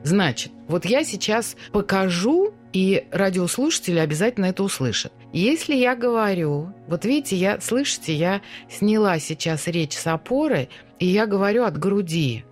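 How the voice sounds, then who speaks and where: A woman's voice: medium pitch, lively intonation, moderate pace, few pauses, faint music behind. A woman who teaches stage speech, talking in a radio interview and demonstrating voice techniques as she explains them.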